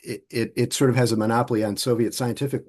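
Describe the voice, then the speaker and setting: A man interviewing for an oral history project, thoughtful and halting, often restarting his sentences. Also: medium pitch, little pitch movement, a little mellow.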